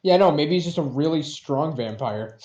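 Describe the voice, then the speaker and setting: A man's voice: a little high, animated, moderate pace, darkish tone. A man co-hosting a podcast in casual comedic banter, jokey and prone to acting out bits. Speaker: podcast co-host. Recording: roomy, echoing sound.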